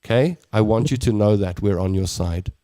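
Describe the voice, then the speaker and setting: South African man hosting, rambling warmly. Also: lowish voice, moderate pace, dry recording, clean studio sound. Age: middle-aged. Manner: warm, rambling, many restarts. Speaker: talk show host. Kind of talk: casual chat, reading viewer comments.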